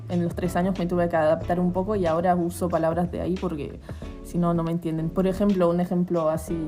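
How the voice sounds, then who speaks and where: A young woman speaks Spanish with an Argentine accent softened by her native French. Her voice sits a bit low, and her answers ramble gently, full of "bueno" and restarts. Faint music plays behind her. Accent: Argentine Spanish with a French tinge. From Geneva, Switzerland. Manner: relaxed, frequent "bueno" fillers and restarts. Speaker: guest, professional basketball player. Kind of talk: casual interview answers.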